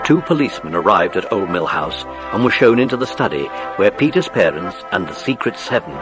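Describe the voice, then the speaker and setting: A man's voice: sits low, sing-song, brisk, over music. A man narrating a scripted children's story as the narrator of an audiobook play.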